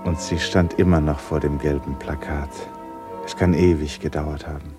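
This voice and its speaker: Very deep-voiced man narrating a radio play. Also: flat delivery, moderate pace, music in the background. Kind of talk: literary radio drama narration. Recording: noisy radio production with ambience. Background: noise, ambience.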